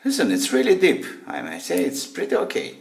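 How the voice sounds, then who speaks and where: A man hosting a gadget teardown, giving a casual, unscripted demonstration and thinking aloud in halting, short phrases. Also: a little high, huge pitch swings, gravelly, loud music behind the voice. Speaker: host of a gadget teardown video.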